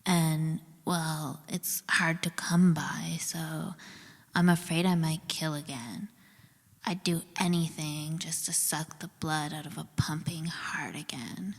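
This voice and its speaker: A woman playing a creepy cannibal caller in a comedy radio drama, speaking in a breathy, menacing half-whisper. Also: sits low, steady tone, slow pace, in a large hall, exaggerated voice. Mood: creepy craving.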